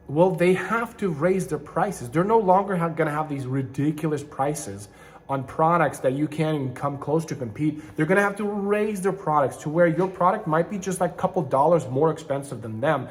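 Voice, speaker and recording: Fields: Sex: man. Origin: Ukraine. Pitch: somewhat high. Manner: rambling vlog talk. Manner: rambling, self-correcting, conversational with frequent restarts. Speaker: channel host, online seller and manufacturer. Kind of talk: casual talking-to-camera vlog.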